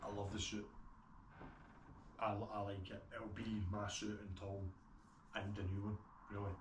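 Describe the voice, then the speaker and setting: A man's voice: lowish voice, little pitch movement, normal speed. A man with a broad Scottish accent giving a casual, unscripted gear review in a plain-spoken way. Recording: roomy echo, distant mic, clear background noise.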